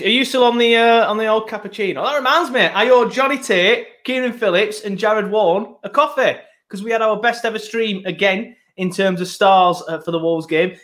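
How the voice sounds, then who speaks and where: A man's voice: high voice, fairly bright tone. A man with a British accent hosting a podcast in a casual, rambling, matey way. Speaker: podcast host. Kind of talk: casual chat.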